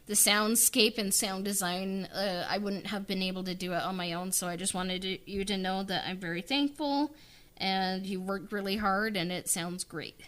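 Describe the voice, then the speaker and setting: A woman who wrote and directed an audio drama, chatting casually and haltingly about it. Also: mid-range voice, steady tone, a bit bright. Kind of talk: casual behind-the-scenes chat.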